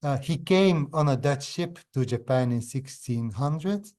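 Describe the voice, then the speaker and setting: A man in his fifties from Belgium, not a native English speaker, giving a webinar talk as a historian over a video call, pausing often with fillers and restarts. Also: medium pitch, lively intonation, darkish tone. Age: 50s. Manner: hesitant, frequent fillers and restarts.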